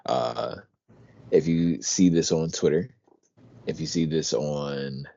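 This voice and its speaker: A young man from Pennsylvania co-hosting a podcast, talking in a deep, quiet voice through his teeth, relaxed and rambling. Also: takes it slowly, highs cut off. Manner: relaxed, rambling, swears casually. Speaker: podcast co-host. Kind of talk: casual chat.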